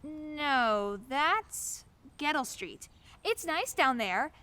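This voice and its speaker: A woman's voice: high-pitched, lively intonation, very slow, sharp tone, full character voice. A woman acting in a radio play as a chatty, awkward, eager-to-please young neighbor who gushes and apologizes. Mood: helpful, eager. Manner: gushing, apologetic, hesitant.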